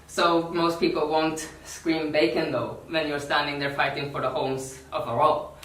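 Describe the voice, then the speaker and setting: A woman from Norway with a Norwegian accent gives an informal workshop talk in non-native English, halting and repeating words. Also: low-pitched, little pitch movement. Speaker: activist workshop speaker. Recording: echoey room, distant mic.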